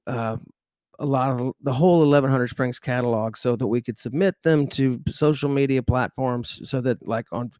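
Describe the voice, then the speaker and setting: A man's voice: medium pitch, no echo. A middle-aged man from Texas with a Texan accent, a guest musician chatting easily in an interview, rambling with fillers and restarts. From Dallas, Texas. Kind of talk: casual interview chat.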